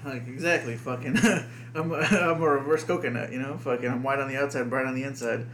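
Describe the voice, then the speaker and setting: Rambling, foul-mouthed young dad. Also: male voice, mid-range voice, steady tone, brisk, fairly bright tone, a little hiss or hum. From United States. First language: Spanish. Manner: profane, rambling, heavy on fillers. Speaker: podcast co-host. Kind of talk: casual podcast chat.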